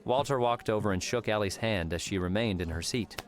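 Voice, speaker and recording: Very deep-voiced male audiobook narrator. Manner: measured storytelling. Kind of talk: scripted fiction narration.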